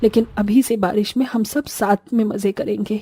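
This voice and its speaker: A woman's voice: mid-range voice, fairly even intonation, moderate pace, mellow, breathy edge, no room sound, faint music behind, lightly acted. Woman playing a haughty, scolding mother-in-law, dry sound. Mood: remorseful, pleading. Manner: imperious, contemptuous, commanding. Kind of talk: Hindi cartoon morality story acting.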